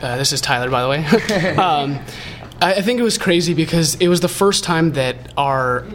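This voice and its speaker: Mellow young-sounding actor, higher voice. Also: male voice, animated, normal speed. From San Diego. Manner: mellow, laughs between phrases. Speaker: stage actor. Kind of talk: casual interview chat.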